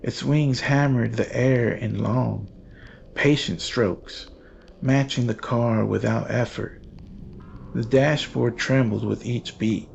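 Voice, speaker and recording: A man delivering scripted horror narration as the storyteller, grave, deliberate and ominous. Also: mid-range voice, steady tone, vocal fry, a little mellow, highs cut off, slight background noise. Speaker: narrator and archivist host.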